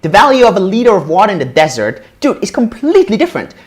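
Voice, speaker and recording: A man's voice: high-pitched, fast. A man in his thirties from Austria, giving a casual livestream lecture on investing at a whiteboard, often tagging sentences with "right?". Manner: animated, casual, frequent "right?" tag questions. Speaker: crypto educator.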